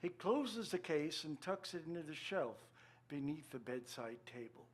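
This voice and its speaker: A man's voice: a little high, lively intonation, normal speed. A man narrating scripted stage directions for a radio drama.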